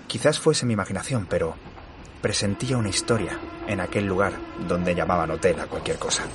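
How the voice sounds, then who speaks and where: A man playing a curious, literary-minded state employee, narrating a horror audio drama in a measured, formal way. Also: low-pitched, over music, natural voice. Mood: measured, intrigued. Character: curious, literary-minded male civil engineering employee narrating his memoir. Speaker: narrator and lead actor.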